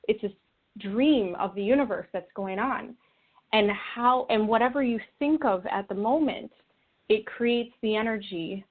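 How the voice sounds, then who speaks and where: Female interview guest, earnest, reflective, halting delivery, thin narrow phone-line sound. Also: mid-range voice. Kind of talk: conversational explanation in an interview. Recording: thin phone-like call audio.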